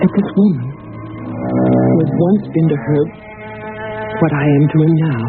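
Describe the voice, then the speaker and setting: An actress playing an anxious, secretive young wife in an old-time radio drama, hesitant and tender with dramatic pauses. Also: female voice, sits low, wildly swooping pitch, full character voice. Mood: haunted, intense. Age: young adult. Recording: tinny vintage radio, music behind.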